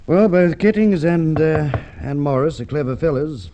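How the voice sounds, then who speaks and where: A shrewd Australian police inspector played by a man in an old radio crime drama. His mellow voice rises and falls freely as he muses and questions with deliberate courtesy, on a dull vintage recording. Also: fairly high pitch, animated, a little hiss or hum, natural voice. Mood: courteous, probing. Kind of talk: golden age radio drama acting.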